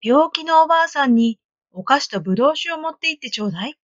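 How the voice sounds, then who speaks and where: A woman voicing a gentle mother giving caring instructions in a storybook reading. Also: high-pitched, expressive, fast talker, dry recording, lo-fi sound, voice pushed up.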